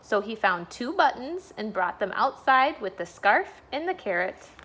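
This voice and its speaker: A woman's voice: a little high, big pitch swings, unhurried, fairly bright tone, husky. A woman, a school staff member, reading a bedtime storybook aloud for children.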